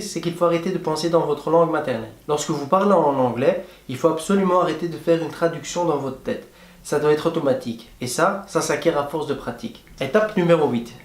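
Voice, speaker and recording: A young man giving friendly, plain-spoken advice straight to his viewers in a casual travel vlog. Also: on the high side. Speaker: travel vlogger. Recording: echoey room.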